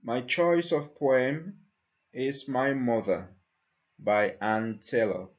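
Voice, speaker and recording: A man reciting poetry slowly and deliberately, with measured pauses between phrases. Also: mid-range voice, dull audio. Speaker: church member reading a poem.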